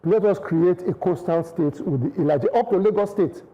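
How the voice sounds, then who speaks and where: A Nigerian man arguing on a studio news panel, his voice fairly high but very dark and mellow. He talks insistently, circling back through restarts and half-finished clauses as he builds his case. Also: moderate pace, muffled recording. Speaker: studio guest. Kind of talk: panel debate.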